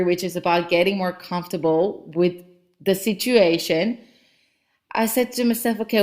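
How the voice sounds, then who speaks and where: A woman hosts a solo motivational talk, speaking English as a second language. Her delivery is casual and chatty, dotted with fillers and quick check-ins with the listener. Her pitch sits in an ordinary middle range. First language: not English. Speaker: podcast host and business coach. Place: lives in Cape Town.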